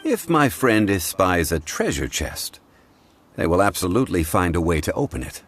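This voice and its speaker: An actor playing a calm, learned ancient man in a game, speaking in a measured, formal way. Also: sits low, slight background noise. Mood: composed. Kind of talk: game voice acting.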